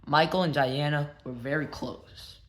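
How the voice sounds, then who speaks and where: A man reads a biography aloud in a slightly high voice. He takes his time, setting out each phrase in a plain, measured storytelling way. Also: fairly even intonation, heavy background noise. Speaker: narrator and show host. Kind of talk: read-aloud biography narration.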